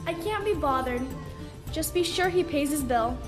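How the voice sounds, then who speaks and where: A woman's voice: sits high, lively intonation, moderate pace, music in the background. A teenage girl playing a proud, desperate woman surgeon in a school radio play, with dramatic, declamatory delivery. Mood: haughty, cold.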